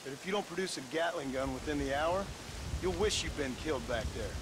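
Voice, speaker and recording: Western game lead: terse American gunslinger male actor, dry delivery, slightly raised pitch, clear background noise. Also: animated, a little fast, lightly acted. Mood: threatening. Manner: dry, blunt, understated. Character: gruff, laconic, menacing cowboy gunslinger. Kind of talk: video game western cutscene acting.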